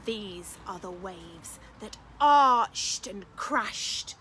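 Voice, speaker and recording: A very lively woman reads a children's storybook like an early years teacher. Her voice is bright and on the high side, and she takes her time. She is encouraging and expressive, stressing words dramatically. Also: very slow, a little hiss or hum. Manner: expressive, encouraging, dramatic emphasis with hushed asides. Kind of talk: animated children's storytime reading, chatty questions to listeners.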